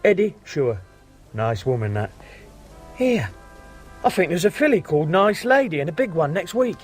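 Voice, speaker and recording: An actor playing a laconic, shady burglar in a radio drama, terse, offhand and deadpan. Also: male voice, a little high, moderate pace, faint music behind. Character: laconic, racing-obsessed male shady burglar.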